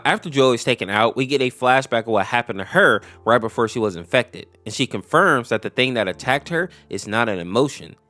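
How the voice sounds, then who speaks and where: A man's voice: medium pitch, fairly bright tone, no room sound. A man giving a casual explainer narration in a conversational, storytelling way. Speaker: YouTube host explaining animated horror lore. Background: background music.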